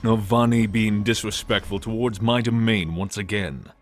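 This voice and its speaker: A man's voice: fairly low pitch, fairly even intonation, moderate pace, close to an everyday voice. A man playing a huffy, defensive, haughty god in a fantasy audio drama. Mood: indignant. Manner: indignant, haughty. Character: huffy, defensive male god of machine-making. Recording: music under the voice.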